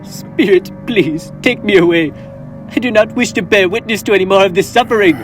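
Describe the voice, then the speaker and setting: A man's voice: high voice, a little fast, lightly acted. A teenage boy acting an old male miser on a school stage, pleading earnestly and theatrically. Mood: dread. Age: teens. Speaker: stage actor. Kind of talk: high school stage play. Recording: guitar music behind.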